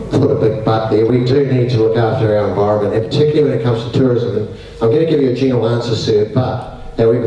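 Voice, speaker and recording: Chatty, rambling New candidate. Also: male voice, on the low side, mellow. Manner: chatty, rambling, personal asides. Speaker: political candidate. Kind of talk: candidate forum answer. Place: community centre hall. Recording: echoey hall, distant mic.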